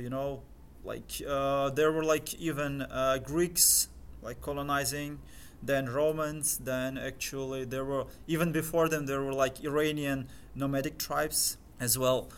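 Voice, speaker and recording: A young man whose first language is Crimean Tatar, a guest talking casually in an interview in English and pausing often to search for words. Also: mid-range voice, slight background noise. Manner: hesitant, filler-heavy, searching for words.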